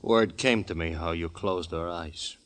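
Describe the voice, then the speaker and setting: Low-voiced, taunting gangster. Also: male voice, unhurried, a bit bright. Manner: taunting, smug tough-guy talk. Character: cocky, menacing gangster devoted to his mother. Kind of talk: old-time radio crime drama acting. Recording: old radio broadcast.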